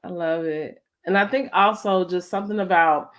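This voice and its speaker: A woman's voice: on the low side, normal speed, a bit bright. A woman with an American accent hosting a casual interview, talking conversationally with lots of "like" and "you know". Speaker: host of a family storytime interview.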